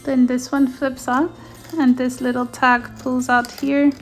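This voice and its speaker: A gentle, softly enthusiastic woman whose first language is Afrikaans, talking through her handmade journal at an easy pace. Her voice sits a little high. Faint music plays quietly behind her. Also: little pitch movement, measured pace. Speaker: junk journal maker. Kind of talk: casual flip-through narration. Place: indoors at a craft table.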